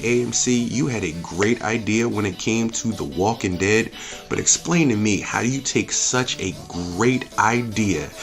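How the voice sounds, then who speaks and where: Low-pitched man ranting bluntly over music. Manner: blunt, emphatic, with profanity and rhetorical questions. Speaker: host of a movie and game review channel. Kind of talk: opinionated review rant, casual and unscripted.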